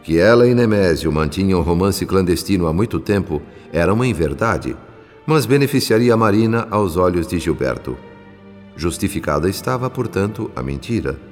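A male actor narrating a radio drama with a Brazilian accent in a measured, solemn, literary manner. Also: deep voice, animated, big-room sound. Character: solemn male narrator telling a moral family story. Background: soft music.

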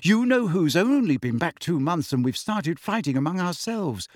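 A man voicing a bossy, earnest teenage schoolgirl in an audiobook, crisp and insistent, with dignity. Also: high-pitched, sing-song, moderate pace, dry recording, studio quality, pitched above the performer's own voice.

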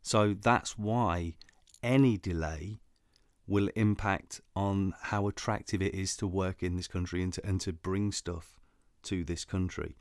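A man with a low voice co-hosts a podcast and explains his points steadily and earnestly. The recording is dry and close. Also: steady tone, measured pace, dry recording. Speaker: podcast co-host. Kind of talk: casual chat.

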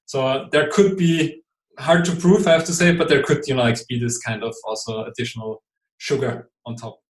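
A man from Austria speaks English with an Austrian German accent, his native German clear in the vowels. He explains at an even middle pitch, hesitant, with frequent fillers and restarts. The recording carries a noticeably roomy echo. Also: normal speed. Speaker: guest expert. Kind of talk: podcast interview.

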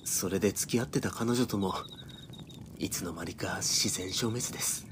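A man's voice: deep voice, moderate pace, noisy background, lightly acted. An actor playing a timid, depressed young man in an audio drama, hesitant and polite. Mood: downcast.

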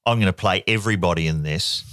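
Low aussie bloke, middle-aged, dry close mic, yarning away on a podcast. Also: male voice, a bit bright, dry recording. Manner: chatty storyteller, quick jokes. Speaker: podcast co-host. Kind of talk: casual chat. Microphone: studio mic.

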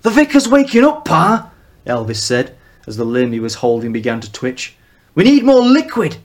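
A man voicing a gangly, hot-headed young male traveller with a Mancunian accent, shouting coarsely. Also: mid-range voice, completely flat delivery, brisk, lightly acted. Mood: urgent.

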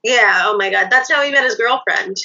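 Bright-voiced woman in her thirties. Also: fairly high pitch, a little fast. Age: 30s. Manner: easygoing, laughs often, short replies. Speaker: guest, host's sister. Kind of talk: casual chat. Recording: dull lo-fi audio.

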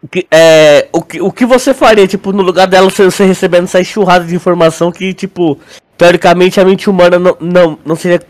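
A man's voice: sits high, steady tone, quick pace. A young man with a Brazilian accent chatting casually as a podcast co-host, using lots of slang and filler words. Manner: slangy, with frequent filler words like "tipo" and "mano". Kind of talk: casual chat about a sci-fi audio drama.

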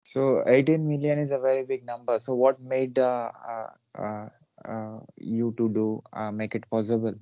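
A man hosting an interview, putting his questions in a halting, conversational way. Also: medium pitch, little pitch movement, mellow, dry recording, dull audio. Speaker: interviewer, podcast and channel host.

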